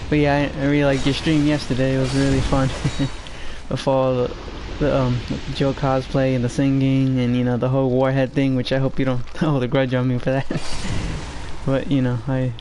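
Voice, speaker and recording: A shy male streamer with a low voice, talking in an even, flat tone. He hesitates and fills gaps with "you know" and "like". A home desk microphone picks him up over a faint hiss. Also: moderate pace. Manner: soft-spoken, shy and hesitant, many fillers. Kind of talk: casual gameplay stream chat.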